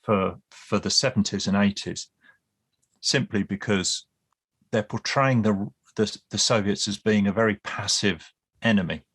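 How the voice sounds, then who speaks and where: A man with a fairly low voice lays out his points at length in a relaxed podcast discussion. He restarts his phrases often and slips in dry humour. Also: measured pace, slightly rough. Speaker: guest expert on Cold War British Army.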